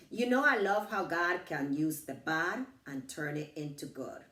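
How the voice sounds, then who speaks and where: A middle-aged woman reading her own personal, inspirational writing aloud in measured phrases. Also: deep voice, a bit bright. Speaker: author reading her book. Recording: roomy echo, distant from the mic.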